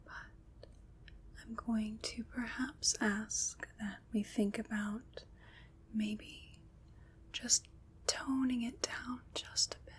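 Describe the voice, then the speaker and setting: A woman playing a gentle, caring witch in an ASMR roleplay, speaking in a breathy whisper with soothing, reassuring pauses. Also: a little high, flat delivery, rough recording. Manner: slow, soothing, reassuring, pausing between short phrases. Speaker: ASMR performer.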